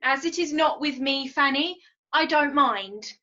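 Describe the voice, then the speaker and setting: A woman playing a timid, gentle and earnest young younger sister in a period drama, speaking politely and hesitantly. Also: sits high, unhurried, highs cut off. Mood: mild, timid. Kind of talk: stage-style period drama dialogue.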